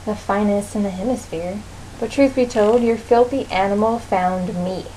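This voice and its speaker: A woman's voice: mid-range voice, moderate pace, warm tone, lightly acted. A woman voicing a poised, scolding telepath mentor from a comic, formal and condescending, on a noisy amateur recording. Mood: haughty.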